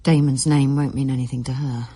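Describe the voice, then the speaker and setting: A protective mother in a radio play, in a low, soft-edged husky voice. She is firm and maternal. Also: little pitch movement, brisk. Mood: stern. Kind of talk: radio drama acting.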